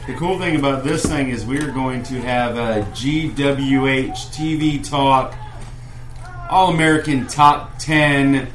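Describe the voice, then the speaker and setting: A man's voice: medium pitch, steady tone, slow pace, a bit bright. A man chatting casually with friends on a wrestling fan show. He rambles, starts sentences over and explains things at length. His voice sits in the middle range and bounces around a roomy space. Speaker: podcast co-host. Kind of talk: casual chat among fans.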